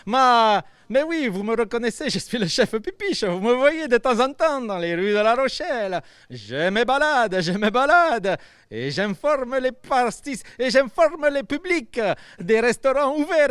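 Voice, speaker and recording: A man's voice: very high-pitched, a bit bright, dry recording. A man voicing a cheerful, boastful male puppet chef who stammers and speaks with a put-on Italian accent, outdoors by the sea. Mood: bubbly. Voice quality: stammering, bubbly. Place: outdoors by the seafront.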